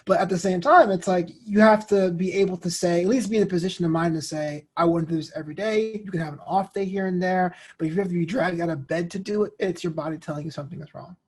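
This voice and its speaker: A man's voice: high voice, fast, few pauses, slightly rough. A man speaking casually and reflectively as an interview guest, often voicing little quoted remarks as he tells his story.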